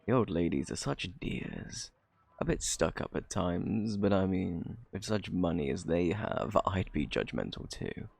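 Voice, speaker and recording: Man playing a deep, gravelly, flirty British thief. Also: expressive, measured pace, darkish tone, exaggerated voice. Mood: smug, amused. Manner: teasing, drawling, theatrically coy. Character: smug, flirty male thief teasing a detective. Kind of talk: flirty ASMR roleplay acting.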